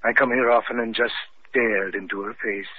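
An actor playing an eerie, devoted gaunt butler who speaks formally and reverently in an old radio mystery. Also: male voice, on the low side, fairly even intonation, moderate pace, slightly rough. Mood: formal, brooding. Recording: thin, phone-like vintage radio recording.

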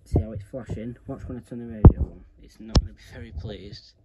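A man with a fairly low voice walks viewers through a hands-on demonstration in a casual, chatty way. He is recorded indoors, with clear background noise and clicks from handling objects. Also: steady tone, a little fast, muffled recording. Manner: informal, addresses viewers as "guys". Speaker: video creator giving a tutorial. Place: indoors, carpeted room.

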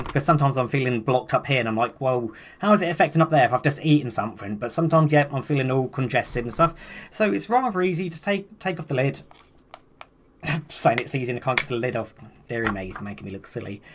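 A young man, chatting casually to camera from a small room about his home remedies, rambling and poking fun at himself. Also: mid-range voice. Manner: chatty, rambling, self-deprecating. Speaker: vlogger.

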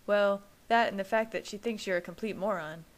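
A woman's voice: medium pitch, brisk, close to an everyday voice. A woman voicing a sarcastic young man who teases his brother in a wry tone. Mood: teasing.

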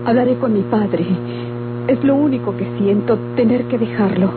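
An actress playing a conflicted, resigned young bride-to-be in an old-time radio melodrama. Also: female voice, on the high side, normal speed, lightly acted. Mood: regretful, resigned. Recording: muffled, very noisy old radio recording.

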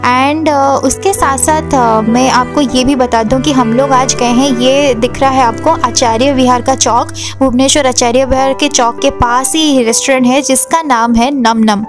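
High-voiced chatty woman food vlogger. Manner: chatty, mixes English words into Hindi, frequent "uh" pauses. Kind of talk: casual restaurant review voice-over. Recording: music playing under the voice.

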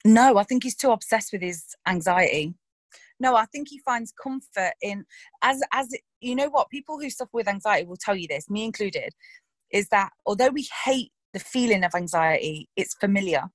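Woman, actress interview, frank and animated, casual swearing, dry close sound. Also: medium pitch, moderate pace, no echo. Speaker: actress discussing her roles.

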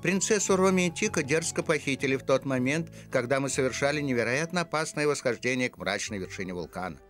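A man's voice: medium pitch, lively intonation, quick pace, a bit bright, soft background music, close to an everyday voice. A man voicing a loyal animal companion who narrates a cartoon adventure in an earnest, scripted storytelling style.

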